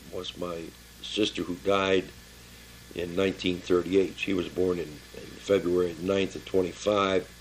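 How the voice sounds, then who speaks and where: An elderly American man in his seventies or older reminiscing informally about his life, halting and plain-spoken as he searches his memory. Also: deep voice, creaky, slight background noise, low-quality recording. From Pittsburgh area, Pennsylvania, United States. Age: 70s or older. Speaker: family member recording his life story for a relative.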